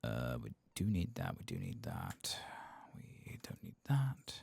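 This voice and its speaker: Very deep, gravelly, soft-spoken man. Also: huge pitch swings, slow, no echo. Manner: murmuring, often slipping into whispers. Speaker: ASMR game streamer. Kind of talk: soft-spoken and whispered ASMR game commentary. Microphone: close microphone.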